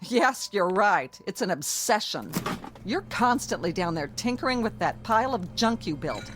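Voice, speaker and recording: Actress as a swooping, scornful nagging wife. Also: female voice, medium pitch, sing-song, normal speed, faint music behind, lightly acted. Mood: irritated scolding. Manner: scolding, sarcastic, complaining. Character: shrill, domineering, bigoted nagging wife, former model. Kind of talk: radio drama acting.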